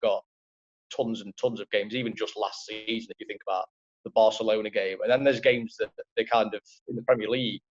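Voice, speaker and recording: A man's voice: on the low side, fairly even intonation, brisk. Liverpool-accented man, dull lo-fi audio. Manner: rambling, many fillers and restarts. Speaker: football writer, co-host. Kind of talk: casual football podcast chat.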